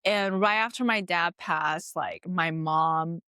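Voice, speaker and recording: A woman's voice: lowish voice, slow pace, bright, forceful tone, no room sound, studio quality. A woman in her late twenties from Taiwan, hosting a podcast and telling her life story in a chatty, reflective way full of "like" fillers. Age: late 20s. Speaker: podcast host. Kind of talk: casual personal storytelling.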